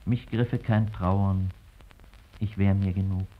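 A man giving a sober, measured poetry recitation on a muffled recording. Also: low-pitched, steady tone, a little hiss or hum. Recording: dull, muffled archive-like sound.

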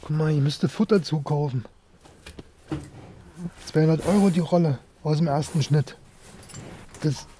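An actor playing a worn-out, tender village farmer with a Berlin-Brandenburg accent, speaking haltingly and colloquially in a radio play. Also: male voice, fairly high pitch, dark tone, a little hiss or hum, natural voice. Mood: troubled, tender. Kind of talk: naturalistic radio play acting.

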